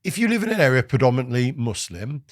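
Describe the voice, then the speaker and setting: A man's voice: medium pitch, sing-song, fast talker, no echo, studio quality. A man from Wales, hosting a casual, opinionated discussion and pressing his points with emphasis. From South Wales. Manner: emphatic, argumentative, speeds up when pressing a point. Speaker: podcast host.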